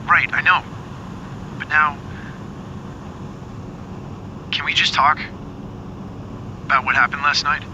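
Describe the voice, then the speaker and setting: A man's voice: a little high, fairly even intonation, normal speed. An actor playing a wounded, medicated, needy man in an audio drama, blunt and breathily pleading over a call. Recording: muffled, noisy call with engine drone.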